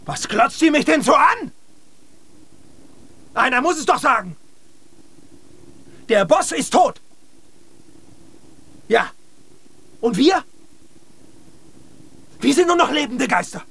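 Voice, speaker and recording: A man's voice: extremely high pitch, big pitch swings, takes it slowly, vocal fry, long pauses, sharp tone, exaggerated voice. A man playing a bitter, hot-tempered polar explorer who rants and curses in a German radio drama. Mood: despairing, agitated. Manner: ranting, cursing, sarcastic.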